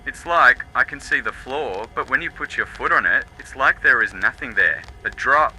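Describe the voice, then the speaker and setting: Guy doing cocky laddish recon worker, audiobook drama, really sharp voice, bit of background noise. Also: mid-range voice, expressive, normal speed, low-quality recording. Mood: amazed, excited. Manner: brash, joking, teasing. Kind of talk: dramatised audiobook radio dialogue.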